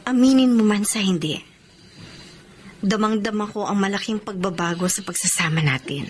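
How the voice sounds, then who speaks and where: A woman's voice: medium pitch, normal speed, a little hiss or hum, lightly acted. An actress in a Filipino radio drama playing a devoted, wounded woman leaving her partner, in a heartfelt, melodramatic way. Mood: hurt, resolute. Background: background music.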